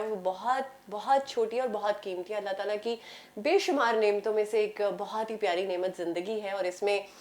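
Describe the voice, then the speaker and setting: A woman with a Pakistani accent talking casually as a guest, expressive and mixing in English phrases. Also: medium pitch. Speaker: talk show guest, radio presenter and voice artist. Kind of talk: casual conversational talk.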